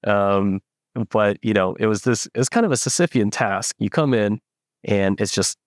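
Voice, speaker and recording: A young man from the Philippines, a startup founder, telling his story in a relaxed interview with lots of fillers and restarts. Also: on the low side, moderate pace, dry recording, studio quality. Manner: reflective, many fillers and restarts. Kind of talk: casual interview.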